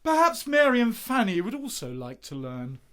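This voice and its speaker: Man acting a lively, charming young poet. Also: very high voice, normal speed, heavily acted. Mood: gracious. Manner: courteous, theatrical, flowery. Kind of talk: radio play period acting.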